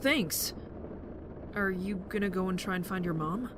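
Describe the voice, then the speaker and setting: A woman as a gentle, hesitant teenage boy, noisy background. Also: mid-range voice, normal speed, close to an everyday voice. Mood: quiet, thoughtful. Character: soft-hearted, thoughtful teenage boy survivor with dry wit. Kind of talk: naturalistic amateur audio drama acting. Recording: clear vehicle-like background noise.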